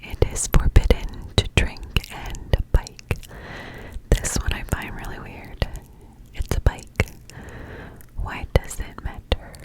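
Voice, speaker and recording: A Canadian woman whispering close to the mic in an ASMR video, breathy with soft mouth sounds. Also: slow pace, pauses often, poor audio. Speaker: ASMR creator.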